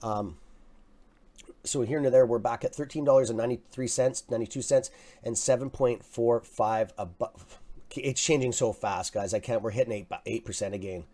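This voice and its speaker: A man with a raspy voice hosting a live stock market stream, talking casually and excitably to his viewers. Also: mid-range voice, moderate pace. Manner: excitable, swears, talks to chat as "guys". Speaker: livestream stock trading host.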